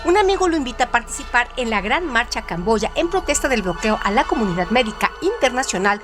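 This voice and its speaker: A woman with a higher voice reads a scripted literature feature, her pitch rising and falling a great deal. A clear music bed plays behind her. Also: big pitch swings, quick pace. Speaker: radio presenter. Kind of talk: scripted literary trivia narration. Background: background music.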